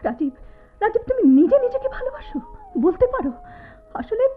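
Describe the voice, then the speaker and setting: A woman's voice: very high-pitched, sing-song, faint music behind. An actress playing an anguished, bitter young woman in an emotive, theatrical style of radio drama. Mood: bitter, tearful. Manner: emotive, dramatic, halting repetitions. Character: anguished, bitter young woman, disfigured, speaking to her former love. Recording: tinny, phone-like old radio drama recording, noisy.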